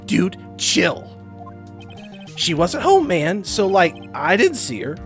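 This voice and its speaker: A man's voice: high-pitched, huge pitch swings, takes it slowly, a bit bright, faint music behind, exaggerated voice. A man voicing a whiny, indignant young slacker on trial in a video game, with melodramatic, slangy delivery. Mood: flustered. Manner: melodramatic, slangy, dragging out words. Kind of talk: video game role-play.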